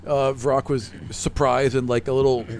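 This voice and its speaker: A man's voice: mid-range voice, level tone, moderate pace, darkish tone, slight background noise. A man narrating a tabletop fantasy game as game master, thinking aloud in a conversational way as he describes scenes.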